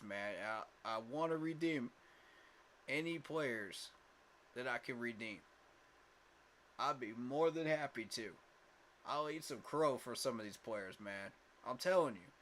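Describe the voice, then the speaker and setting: A man's voice: medium pitch, lively intonation, a little slow, a bit bright, slight background noise. A man hosting a casual fan livestream, talking emphatically with lots of fillers and repeated words, tired from little sleep. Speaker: fan channel host.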